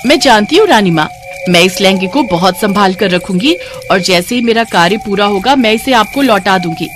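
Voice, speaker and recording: A woman's voice: medium pitch, expressive, normal speed, lightly acted. Dramatic, scheming witch played by a woman, over music. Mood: eager, deferential. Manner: pleading, dramatic. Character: scheming female witch posing as a helpless weeping bride.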